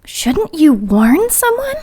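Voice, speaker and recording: A woman's voice: sits high, huge pitch swings, slow, dark tone, exaggerated voice. A woman playing a polite, efficient, inquisitive assistant to a bishop in an audio drama, courteous and questioning. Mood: curious, concerned.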